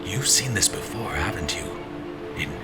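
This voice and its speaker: A man playing a grim, commanding general who narrates his own dark fantasy tale in a formal, brooding, deliberate way. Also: lowish voice, animated, loud music behind the voice, lightly acted. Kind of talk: scripted audio drama, first-person narration and dialogue.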